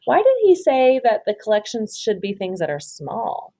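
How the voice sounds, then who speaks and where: A woman reading a children's story aloud expressively, pausing to ask simple questions and encourage answers. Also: medium pitch, very animated, mellow.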